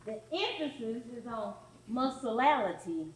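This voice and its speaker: A woman playing a witty, admonishing church preacher of the civil-rights era in a staged sermon, speaking in measured, emphatic phrases. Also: fairly high pitch, expressive, slight background noise. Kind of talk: staged readers theatre sermon. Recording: echoey hall with audible audience.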